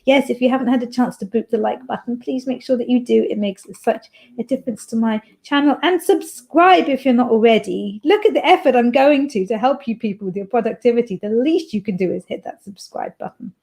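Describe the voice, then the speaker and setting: A woman's voice: fairly high pitch, a little fast, vocal fry, darkish tone. A woman hosting a writing livestream, chatting casually and playfully as she reads chat messages aloud.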